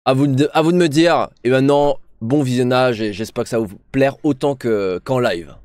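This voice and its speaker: A man's voice: mid-range voice, normal speed, a little mellow, smooth, no room sound. A young man casually commenting as a streamer, loose and rambling, blunt and full of slang. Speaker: streamer reacting to a TV show.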